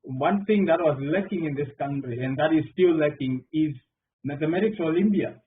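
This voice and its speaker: A man's voice: fairly high pitch, normal speed, distant mic. A middle-aged man from Zimbabwe, a mathematician on a panel, talking with many fillers and restarts. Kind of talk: round table answer. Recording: phone-like online call audio with faint noise.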